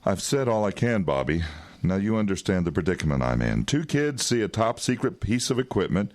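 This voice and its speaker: An actor playing a wry, quietly menacing intelligence agent in an audio drama, talking in an insinuating, condescending way. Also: male voice, lowish voice, sing-song, brisk. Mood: guarded, persuasive. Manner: insinuating, condescending, with chuckles and hesitations.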